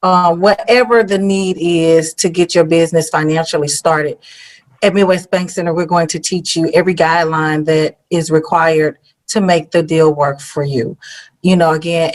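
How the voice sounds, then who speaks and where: A woman's voice: lowish voice, a little mellow. A woman banker answering on a forum panel. She reassures the audience and often fills her sentences with "you know.".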